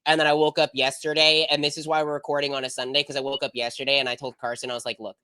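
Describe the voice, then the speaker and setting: A man's voice: medium pitch, little pitch movement, very fast, sharp tone, clear, resonant tone, dry recording. A young adult American man hosting a podcast in casual chat, his voice congested from being sick. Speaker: podcast host.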